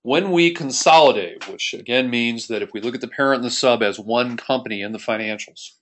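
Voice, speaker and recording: A man's voice: mid-range voice, brisk, a bit bright. An American man giving an explanatory screencast lesson as an accounting instructor, explaining methodically and step by step.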